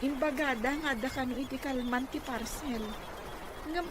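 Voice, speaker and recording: Radio drama daughter, female actor: young, dutiful, high voice, Ilocano accent, noisy background. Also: moderate pace, lightly acted. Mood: worried. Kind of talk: Filipino radio drama acting. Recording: clearly noisy ambience behind.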